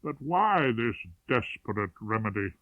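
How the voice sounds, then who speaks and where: A man voicing a wry, world-weary ageing English barrister, formal and deadpan, in an audiobook. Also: fairly low pitch, a little slow, no echo, recorded from a distance. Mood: deadpan, dry. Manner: formal, deadpan, pompous turns of phrase. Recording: narrowband, tinny radio-like sound.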